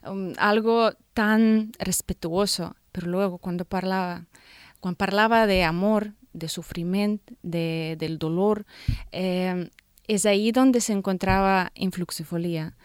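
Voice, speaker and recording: A woman from Belarus, answering interview questions in Spanish, her second foreign language, and often pausing to search for words. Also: medium pitch, steady tone, clear, resonant tone, no room sound, studio quality. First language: Russian and Belarusian. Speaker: guest, translator and painter.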